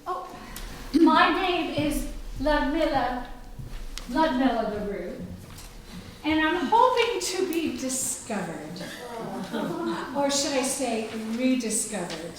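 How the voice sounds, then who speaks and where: A woman's voice: high voice, sing-song, slow pace, pauses often, slight background noise, exaggerated voice. A woman playing a vain, faded starlet in a live radio-style comedy, heard from far off in an echoey hall. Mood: self-important. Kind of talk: live old-time radio comedy acting. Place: live stage show in a library.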